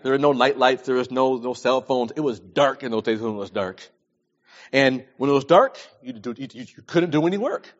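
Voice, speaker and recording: A man in his early forties preaching a sermon, speaking emphatically and repeating phrases to stress them. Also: medium pitch. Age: early 40s. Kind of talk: sermon with scripture readings and plain advice. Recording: dull lo-fi recording.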